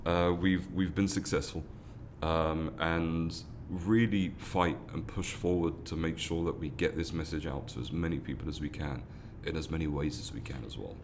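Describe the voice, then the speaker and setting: A man's voice: a bass voice, fairly even intonation, moderate pace, hardly any pauses, background noise. A man, a foundation founder giving earnest interview answers, often restarting and repeating words. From London, England. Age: 30s.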